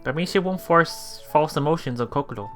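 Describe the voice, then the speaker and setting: A let's play host casually commenting on a visual novel and reading its lines aloud in a halting, chuckling way. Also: medium pitch, expressive, fairly bright tone. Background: visual novel background music.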